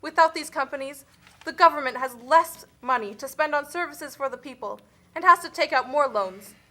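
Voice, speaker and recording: High, bright, burdened poor-country spokesperson, woman acting. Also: normal speed. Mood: troubled. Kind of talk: scripted educational puppet show.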